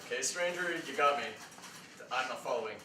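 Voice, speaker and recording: A man's voice: medium pitch, brisk, lightly acted. A man acting an uneasy, hesitant man, far off. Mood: uneasy, wary. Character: uneasy, suspicious man cornered by a chatty stranger. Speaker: stage actor in a student theatre staged reading. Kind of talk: staged play reading. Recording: distant mic, echoey room, clear hiss.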